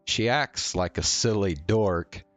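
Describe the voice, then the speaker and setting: A man reading a visual novel aloud as a streamer, in measured narration with the odd stumble. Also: lowish voice, a bit bright, no room sound. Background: faint music.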